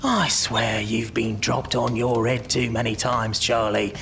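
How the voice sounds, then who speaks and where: An actor playing a wily old leader of child thieves in an audio drama, with an English accent, a gravelly voice and a theatrical, ingratiating manner. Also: male voice, mid-range voice, fairly bright tone, a little hiss or hum. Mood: sly, coaxing. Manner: theatrical, ingratiating, drawn-out delivery.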